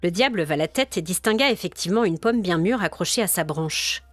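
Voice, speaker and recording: Woman narrating a dark tale over music. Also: on the low side, animated, brisk, no room sound. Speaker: storyteller. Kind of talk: scripted dramatic story narration.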